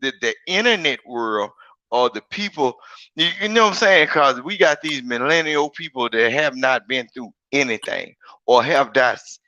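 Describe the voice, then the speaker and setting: A big-voiced man talks emphatically in a casual online chat. His tone is bright and forceful, the sound is dry and a little dull, and he circles back and repeats his points. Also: medium pitch, expressive, normal speed, dry recording. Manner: rambling, emphatic, repeats himself. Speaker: guest. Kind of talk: casual live-stream chat.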